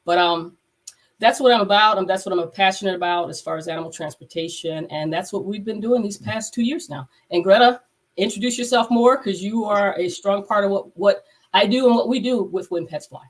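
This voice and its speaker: A woman's voice: mid-range voice, brisk, poor audio. A woman veterinarian hosting a casual live stream, her voice congested, talking in a rambling way with many fillers and restarts. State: congested from a cold. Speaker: veterinarian and podcast host. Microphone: video call.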